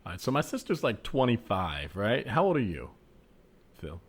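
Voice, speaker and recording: Man playing level-headed doubter, fairly deep voice, measured, frank, reasoning, naturalistic improv comedy. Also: big pitch swings. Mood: firm, practical. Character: level-headed, skeptical man advising his sister's boyfriend.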